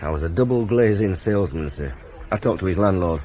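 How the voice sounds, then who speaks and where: An actor playing a practical police sergeant in a radio crime drama, respectful but sceptical, with a wry, matter-of-fact manner. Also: male voice, deep voice, lively intonation, brisk, dark tone, slight background noise. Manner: wry, matter-of-fact, pushing back politely.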